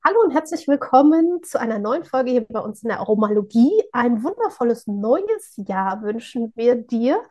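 A woman co-hosts a podcast in a casual, talkative way. Her voice sits a little high, and she often hesitates with small fillers while explaining. She sounds at ease. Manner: warm, chatty, with frequent filler pauses. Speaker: podcast co-host.

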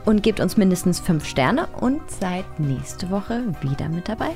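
Female co-host, slightly low voice, informal slangy chat, some music behind her. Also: big pitch swings, measured pace, darkish tone. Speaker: podcast co-host. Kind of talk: casual, slangy chat hosting.

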